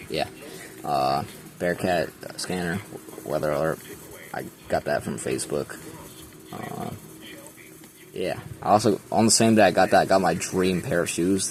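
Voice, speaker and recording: A man's voice: very deep voice, gravelly, a little hiss or hum, rough recording. A young man casually showing his radio collection in his bedroom, rambling with many ums, filmed point-of-view on a handheld camera. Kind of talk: casual show-and-tell.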